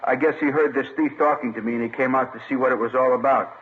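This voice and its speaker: A man's voice: mid-range voice, steady tone, fast, lightly acted. An American man playing an earnest middle-aged shopkeeper giving a statement in an old radio drama on a muffled recording. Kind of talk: 1950s radio drama, plain testimony.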